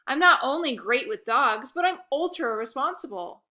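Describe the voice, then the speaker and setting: Woman as an excitable, scheming kid, high-pitched. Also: moderate pace. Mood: salesy, reassuring. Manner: exclaiming, salesy, insistent. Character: eager, scheming kid hosting a dog party.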